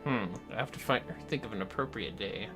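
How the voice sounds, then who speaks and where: A man's voice: lowish voice, brisk. A man chatting casually on a gaming livestream, sweary and playfully theatrical, with music behind him. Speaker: livestreaming gamer.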